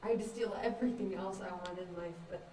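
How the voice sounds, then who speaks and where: Young woman playing tough unfazed street thief, dry, soft dark tone, echoey stage recording. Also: on the low side, steady tone, fast, lightly acted. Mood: determined. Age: young adult. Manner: dry, matter-of-fact, probing. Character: blunt, unflappable, street-smart young female thief. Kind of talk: student stage play acting. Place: indoor stage, recorded from afar. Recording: distant echoey stage recording, faint noise.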